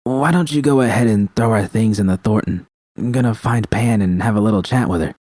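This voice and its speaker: Man playing a tender tough woman, mellow voice. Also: fairly low pitch, a little fast, no room sound, studio quality, lightly acted. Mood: matter-of-fact. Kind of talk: audio fan fiction dialogue.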